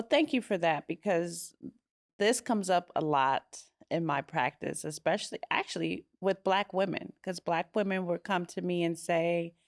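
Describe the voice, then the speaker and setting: A woman hosting a podcast interview, her voice sitting low with a gravelly fry. The sound is dry and close, with no room in it. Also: no echo. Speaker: podcast host and attorney.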